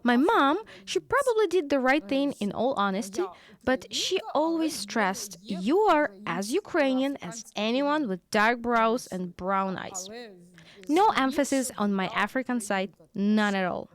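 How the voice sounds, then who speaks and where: A woman giving a lively, conversational first-person voice-over translation of an interview. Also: high-pitched, normal speed.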